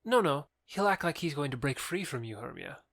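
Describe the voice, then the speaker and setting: A man's voice: a little high, very animated, fairly quick, vocal fry, a bit bright, dry recording, studio quality, full character voice. A man playing a proud young rival in love, sneering and challenging, in a modernized Shakespeare audio drama. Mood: taunting.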